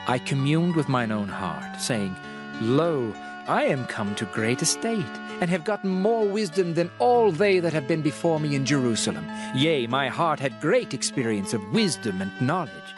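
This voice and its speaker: An actor playing a world-weary, reflective king called the Preacher in a dramatized scripture reading, solemn, measured and declamatory. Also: fairly high pitch, big pitch swings, over music.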